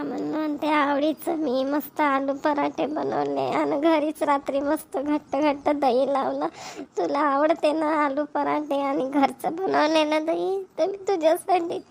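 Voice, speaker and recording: Woman voicing a high-pitched, fretful village farm wife. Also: gravelly, darkish tone. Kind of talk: Marathi village cartoon comedy dialogue.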